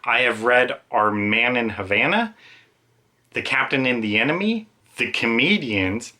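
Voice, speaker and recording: A man's voice: mid-range voice, big pitch swings, unhurried, pushed and bright. A man giving an informal, rambling, self-aware book review as a reviewer talking casually on video.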